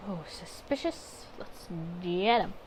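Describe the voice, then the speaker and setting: A woman's voice: medium pitch, sing-song, slow pace, a little hiss or hum, poor audio. A woman doing a casual game playthrough, chatting expressively as she reads story text aloud and reasons through her reactions. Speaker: gaming video creator.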